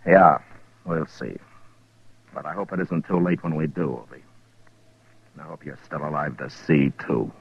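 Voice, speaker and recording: An actor playing a weary, level-headed American frontier marshal, terse and dry, in an old-time radio western. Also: male voice, a bass voice, normal speed, gravelly, long pauses, slightly rough, exaggerated voice. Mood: grim. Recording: dull vintage radio recording.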